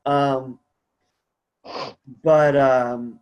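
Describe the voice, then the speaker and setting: A man hosts a support group call in a casual, conversational way. He sounds earnest and encouraging, with a middling pitch, and tends to ramble as he shares. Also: fairly even intonation, extremely slow, clean tone, rough recording.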